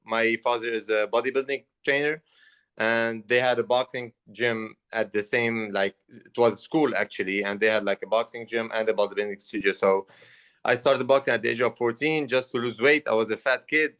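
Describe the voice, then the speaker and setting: A man's voice: mid-range voice, fairly even intonation, a bit bright, clean tone. Earnest Lebanese-accented young man. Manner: earnest, halting with restarts. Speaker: guest boxer. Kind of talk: interview answers.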